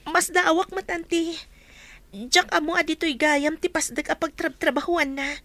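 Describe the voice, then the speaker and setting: A kind young heiress in a Filipino radio drama. Her voice is very high and bright, recorded close and dry, with earnest, emotive delivery. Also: fairly even intonation, normal speed, no echo, exaggerated voice. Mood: respectful. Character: young woman heiress, kind new boss.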